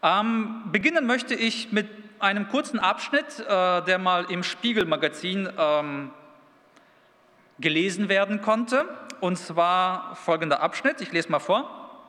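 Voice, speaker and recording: Bright high-voiced man preaching in a reverberant hall. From Kazakhstan. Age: 30s. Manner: conversational with many fillers, then reads aloud slowly and deliberately. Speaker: youth pastor. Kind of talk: sermon. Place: church hall.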